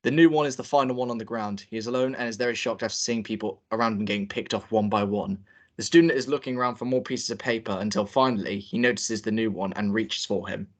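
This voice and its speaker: A man's voice: fairly low pitch, steady tone, fast. Man reading stage directions. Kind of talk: table read narration.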